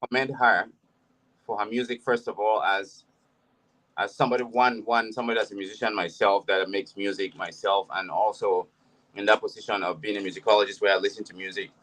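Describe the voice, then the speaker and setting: A man's voice: lowish voice, steady tone, brisk, fairly bright tone, poor audio. A man who is a musician, giving his view on a panel in a hesitant way with many restarts. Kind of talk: panel discussion.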